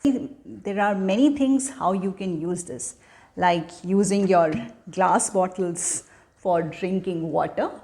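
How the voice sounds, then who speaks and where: A woman giving an educational talk for children, speaking in a deliberate, teacherly manner as a storyteller and host. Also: lowish voice, warm tone. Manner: teacherly, deliberate, asking questions then answering them.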